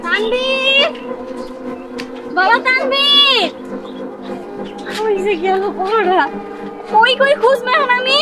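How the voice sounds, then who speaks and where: A woman acting a distraught village woman in an amateur melodrama, lamenting in a wailing, drawn-out, theatrical way. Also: very high-pitched, loud music behind the voice. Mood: anxious searching. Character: distraught village woman lamenting loudly over a lost boy. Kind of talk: amateur short-film melodrama.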